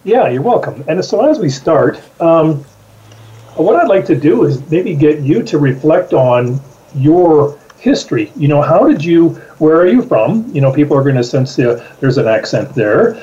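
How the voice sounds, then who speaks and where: A man's voice: fairly high pitch, big pitch swings, moderate pace, warm tone, dull audio. A man from Canada, a business coach, putting friendly interview questions in an upbeat, folksy way.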